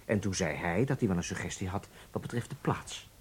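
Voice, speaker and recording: An actor playing a calculating German undercover spy in a Dutch radio drama, explaining things deliberately and intimately to his partner. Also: sits low, animated, slightly rough, exaggerated voice. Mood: affectionate, confiding.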